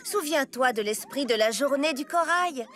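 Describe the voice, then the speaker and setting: A woman voicing a cheerful, reassuring dolphin girl in an animated series, speaking in an encouraging way. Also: high-pitched, normal speed. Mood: gentle, reassuring. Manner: lively, encouraging. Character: Dorinda, cheerful reassuring dolphin girl, leader of stunt swimmers. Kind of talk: French animated series dubbing. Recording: voice over background music.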